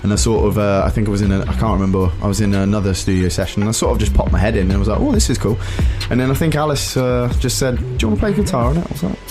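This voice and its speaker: A man's voice: on the low side, rapid-fire, hardly any pauses, over music. A man being interviewed, chatting casually and informally in rambling anecdotes. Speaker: musician being interviewed, guitarist. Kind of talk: casual chat.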